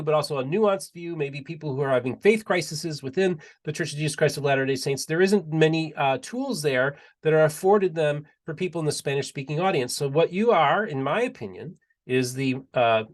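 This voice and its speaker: A male podcast host chatting casually and enthusiastically, often repeating and restarting his words. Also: a little high. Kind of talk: casual interview chat.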